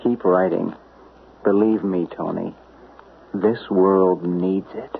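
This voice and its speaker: An actor playing a calm male literary agent in a 1970s radio drama, speaking earnestly and haltingly. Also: low voice, creaky, a little hiss or hum, exaggerated voice. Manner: earnest, soft-spoken, halting. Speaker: Dick Harrison, a literary agent. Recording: tinny, lo-fi old radio broadcast.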